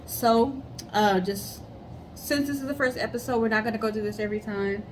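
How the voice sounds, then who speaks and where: A woman's voice: a little high, normal speed, noisy background. A woman co-hosting a casual chat and storytelling show in an upbeat, friendly way. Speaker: co-host.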